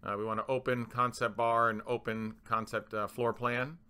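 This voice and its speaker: A man giving a halting, explanatory voice-over for a slide presentation, speaking as a manager laying out plans. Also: mid-range voice, flat delivery, bright, forceful tone, smooth.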